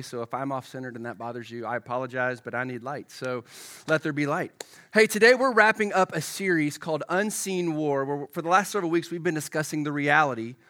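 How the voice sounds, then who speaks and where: A male pastor delivers a live sermon with a touch of froggy hoarseness from a head cold. His voice sits a little higher than most men's, and he keeps it conversational, turning to the congregation with questions as he goes. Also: bright, forceful tone.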